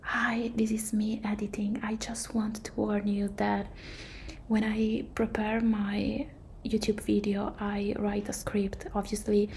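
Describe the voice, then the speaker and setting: Casting note: Italian-accented woman, hushed and hesitant, flat low gravelly whisper, faint hiss behind. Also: slow pace. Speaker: video creator. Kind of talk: whispered aside while editing.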